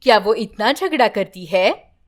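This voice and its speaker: A woman voicing a wise, gentle magic jar that calmly explains the truth. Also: mid-range voice, a little slow, natural voice. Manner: calm, explaining.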